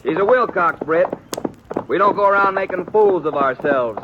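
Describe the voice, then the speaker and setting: A domineering, hot-tempered American rancher in an old radio western. His voice sits on the high side and swings widely, with blunt, folksy wording. The sound is dull and heavily noisy. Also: animated, normal speed. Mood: smug, gruff. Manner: folksy rural speech, blunt and stern. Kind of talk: 1950s radio western acting.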